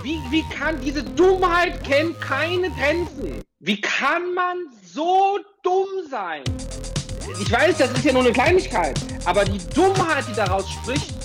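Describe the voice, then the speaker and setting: High, animated woman ranting over music. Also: slow pace. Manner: repetitive, emphatic.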